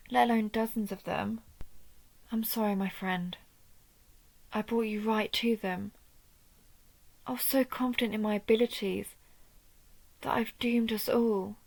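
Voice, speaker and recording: A woman playing a guilt-ridden vampire hunter in an intimate audio roleplay, with a halting, brooding delivery. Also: fairly high pitch, steady tone, vocal fry. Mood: remorseful, afraid. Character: guilt-ridden female vampire hunter, wounded, turning dark. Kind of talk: dramatic audio roleplay spoken to one listener.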